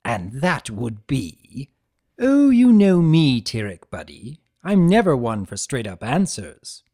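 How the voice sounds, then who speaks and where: A man voicing a mischievous chaos spirit in a fantasy story, energetic, coy and theatrical, with a teasing way of talking. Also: medium pitch, slow pace, heavily acted. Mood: playful, coy.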